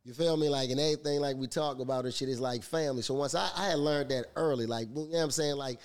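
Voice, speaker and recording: A man's voice: medium pitch, quick pace, clean tone. A man with a Baltimore accent in casual banter, swearing freely and checking that listeners follow him. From Baltimore, USA. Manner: slangy, swears freely, often checks 'you feel me'. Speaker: stand-up comedian, podcast guest. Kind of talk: casual round table banter.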